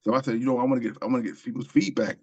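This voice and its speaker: A man's voice: medium pitch, steady tone, very fast, muffled recording. A man from Queens, New York, telling stories as an interview guest, rambling with many ums and restarts. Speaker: author and screenwriter guest. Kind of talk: casual interview storytelling.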